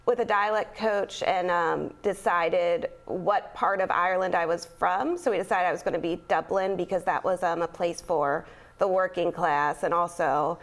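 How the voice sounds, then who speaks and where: A woman's voice: on the low side, fairly even intonation, normal speed. A young woman, a stage actor, chatting casually in an interview with lots of fillers and light laughs. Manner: chatty, many fillers, laughs while talking. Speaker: actor in a community theater play.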